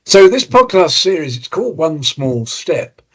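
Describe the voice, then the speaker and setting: A man's voice: a little high, very animated, unhurried, a bit bright. A man hosting a podcast interview, friendly and thoughtful, summarizing what his guest says. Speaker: podcast host.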